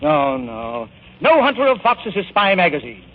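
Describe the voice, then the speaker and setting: A man playing a pompous, bombastic, domineering magazine publisher in 1940s radio style, grandiose and brisk. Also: high voice, huge pitch swings, gravelly, heavily acted. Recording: scratchy, tinny 1940s radio broadcast.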